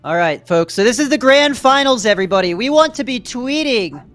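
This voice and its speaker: A man doing energetic play-by-play commentary for an online esports tournament. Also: extremely high pitch, a little fast, no room sound, soft background music. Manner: energetic, rises to excited shouts in big plays. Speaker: play-by-play caster.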